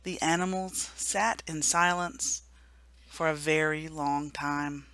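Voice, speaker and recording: A woman reading a children's storybook aloud for a remembrance service, gentle and hushed at the sad moments. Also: low voice, fairly even intonation, slow, no room sound. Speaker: story reader.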